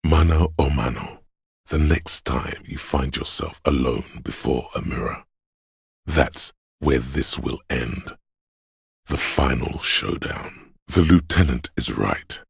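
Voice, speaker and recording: Bottomless, gravelly inner voice. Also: male voice, no room sound, studio quality, exaggerated voice. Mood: solemn, ominous. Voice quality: very deep, gravelly, husky rasp. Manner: slow, ominous, grandiose, sardonic. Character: gravelly inner voice of a man's bodily endurance, brooding, grandiose. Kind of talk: video game voice acting.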